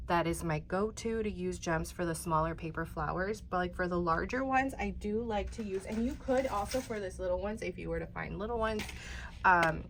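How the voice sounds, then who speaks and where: A woman's voice: lowish voice, a bit bright, slight background noise. A woman hosting a casual paper craft tutorial, a bit congested, chatty and rambling, often dropping to a near-whisper while she works. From Texas. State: congested, stuffy-sounding.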